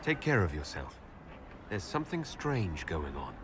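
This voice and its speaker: A man playing a composed, inquisitive elven leader in a fantasy game, measured and questioning. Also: low voice, very animated, noisy background. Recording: music behind the voice.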